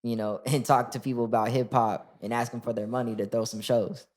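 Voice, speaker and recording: A man's voice: mid-range voice, brisk. Young man rapper, loose casual talk. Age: 20s. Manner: relaxed, lots of "like" and restarts. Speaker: rapper guest. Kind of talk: casual interview chat.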